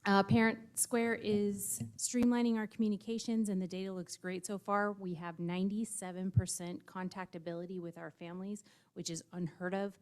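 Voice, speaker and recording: A woman's voice: mid-range voice, level tone, normal speed, hardly any pauses, darkish tone, clean tone. A woman giving an upbeat staff report at a school board meeting, with fillers and a light laugh now and then. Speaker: district staff presenter.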